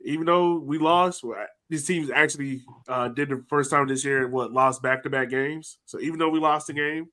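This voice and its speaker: Animated podcast host, higher-voiced man. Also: moderate pace, few pauses. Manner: animated, exclaiming, laughs often. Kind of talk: casual chat.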